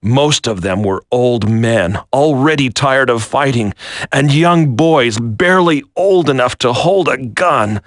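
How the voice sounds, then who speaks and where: A man plays a military commander who has turned traitor, grave and resigned to fate. He speaks slowly and deliberately in a dry, close recording, with the solemn drama of a video game. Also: mid-range voice, lively intonation, no room sound, natural voice. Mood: solemn, fatalistic.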